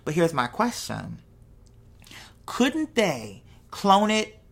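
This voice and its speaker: A woman with a fairly low voice talks off the cuff as a show host. She muses conversationally, posing rhetorical questions and checking that the listener follows along. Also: fairly bright tone. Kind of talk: casual unscripted monologue to followers.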